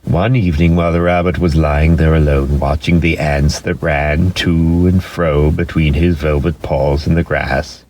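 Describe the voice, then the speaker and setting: A man narrating a children's story dramatically, expressive and lingering on key words for emphasis. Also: extremely low pitch, measured pace, few pauses, a little hiss or hum.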